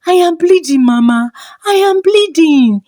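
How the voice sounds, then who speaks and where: A woman's voice: very high-pitched, lively intonation, a little slow, dark tone, no echo, clean studio sound, pitched above the performer's own voice. A woman with a Nigerian accent voicing an emotional, weary young pregnant wife who pleads, in a folktale. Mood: panicked.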